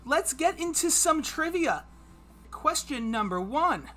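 A man's voice: very high voice, sing-song, slow, sharp tone, faint music behind. A man in his thirties hosting a livestreamed trivia quiz from home, chatty and upbeat as he reads clues aloud. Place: home streaming room.

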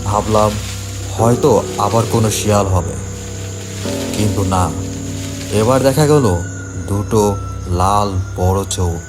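A man narrating a scripted first-person horror story for an animated cartoon, in a dramatic storytelling manner. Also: fairly low pitch.

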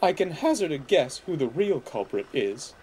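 A man's voice: on the high side, big pitch swings, moderate pace, mellow, a little hiss or hum, pitched above the performer's own voice. A man voicing a cheeky, kindly old female motor car with a chatty manner in a children's story.

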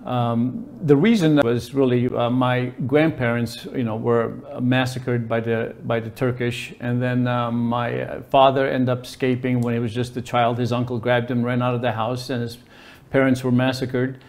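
A man in his sixties from Lebanon, a hotel manager answering interview questions in a halting way with many fillers. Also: medium pitch, flat delivery, a little mellow. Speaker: hotel general manager, guest. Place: indoor office with plants.

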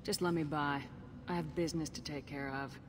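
A woman's voice: sits low, steady tone, fairly quick, big-room sound, background noise, close to an everyday voice. A woman voicing a confident human military commander in a video game, direct and dryly wry. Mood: composed, wry. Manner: direct, dry.

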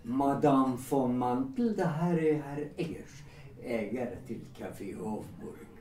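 Actor as a mellow, deferential café employee. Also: male voice, medium pitch, expressive, natural voice. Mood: deferential, formal. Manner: deferential, formal. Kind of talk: period audio drama acting. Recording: echoey, distant microphone.

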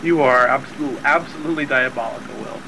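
A man's voice: medium pitch, brisk, bright, forceful tone, low-quality recording. A man chatting and telling stories casually while gaming, with a wry, mock-exasperated manner. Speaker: video game player. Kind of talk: casual gaming chat, storytelling. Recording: clear engine noise behind. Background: game vehicle engine noise.

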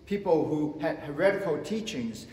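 A man in his fifties preaching a sermon from the front of a church sanctuary, slow and emphatic, swinging between loud and soft. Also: a little high, expressive. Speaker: pastor. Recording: echoey church with long reverb.

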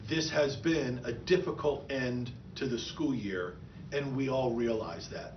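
A middle-aged man speaks in a measured, earnest way, addressing graduates with steady encouragement. His voice sits at an ordinary pitch and sounds a little muffled. It carries the echo of a room, with the mic set back from him. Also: slight background noise. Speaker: school superintendent. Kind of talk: prepared commencement address. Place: office with bookshelves, indoors. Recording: echoey, dull room sound from a distant mic.

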